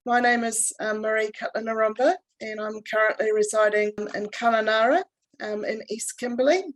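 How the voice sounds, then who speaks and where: A woman from New Zealand with a New Zealand accent leads a relaxed video call with guests. Her voice sits fairly high, and she talks in a chatty way with fillers and restarts. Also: moderate pace, low-quality recording. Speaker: club manager and interviewer. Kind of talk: warm online yarn with guests.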